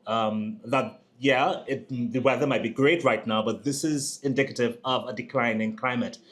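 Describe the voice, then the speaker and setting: A man hosting an interview, asking long conversational questions and searching for words with fillers. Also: medium pitch. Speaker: host.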